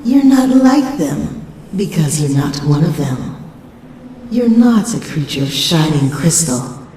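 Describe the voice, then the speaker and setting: A woman playing a sinister shadow-creature mother, speaking in a deliberate, mock-tender way for a dramatic comic dub. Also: deep voice, sing-song, dark tone, exaggerated voice. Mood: menacing, revealing. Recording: roomy, distant, faint background noise.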